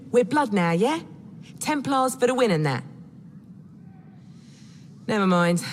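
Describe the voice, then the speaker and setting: An actress playing a brash, friendly Templar recruiter in a video game, slangy and matey with a British accent. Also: female voice, medium pitch, in a large hall, slight background noise, close to an everyday voice. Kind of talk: scripted video game dialogue.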